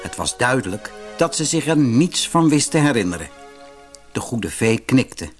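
A man reading a scripted fairy tale for children as an expressive narrator. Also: medium pitch, moderate pace. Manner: expressive storytelling. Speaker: storybook narrator. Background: music.

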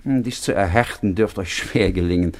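An actor playing a proud, honourable young husband in a classic radio drama, formal and declamatory. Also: male voice, deep voice, moderate pace, a little mellow. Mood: stern, challenging.

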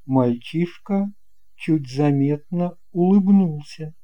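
A man narrating a children's tale as an audiobook reader, in a measured, expressive way. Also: on the high side, highs cut off. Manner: slow, measured, expressive reading.

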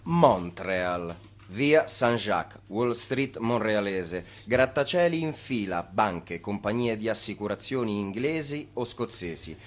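A man playing a dry, ironic narrator in a radio play, speaking in clipped, deadpan phrases. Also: on the low side, slow pace.

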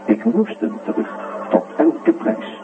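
An actor playing a grave, reflective doctor, giving measured first-person narration in a radio drama. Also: male voice, natural voice. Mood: grave, brooding. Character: grave, brooding male doctor narrating a grim account. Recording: tinny narrow radio recording, music behind.

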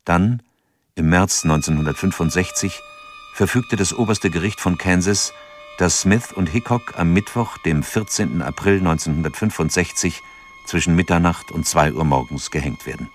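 An actor playing a narrator in a radio drama, reading scripted narration in a sober, measured and factual way. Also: male voice, low-pitched, slight background noise, lightly acted.

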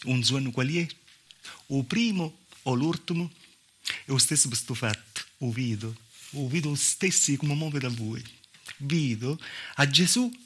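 A man performing a theatrical dialect monologue as a southern Italian village woman, with a Calabrian accent and an intimate, repetitive storytelling manner. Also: mid-range voice. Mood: awed. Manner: intimate storytelling with repetitions and spoken sound effects. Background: live music accompaniment.

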